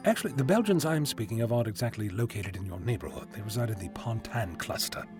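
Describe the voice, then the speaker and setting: A man's voice: lowish voice, expressive, fast talker, close to an everyday voice. A man playing a genial, eccentric time-travelling scientist in an audio drama, chatty and given to lecturing. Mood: brisk, assured. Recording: music behind fan audio drama.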